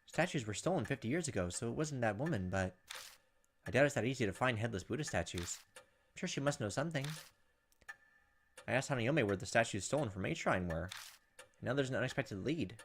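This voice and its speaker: A man's voice: mid-range voice, expressive, a little fast, long pauses, slight background noise. A man hosting a gaming video, reading visual novel text aloud and adding casual asides. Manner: slips into acted character voices between narration. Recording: game music behind the voice. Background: video game music.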